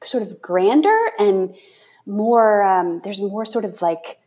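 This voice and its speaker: A woman's voice: medium pitch, slow, dark tone. Effusive woman hosting a casual chat. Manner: effusive, searching for words, self-correcting. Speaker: podcast host.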